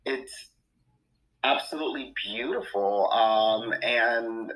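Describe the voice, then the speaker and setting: A man who studies language, explaining calmly in an interview with many pauses. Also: medium pitch, poor audio. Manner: measured, thoughtful, with many ums. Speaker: language expert.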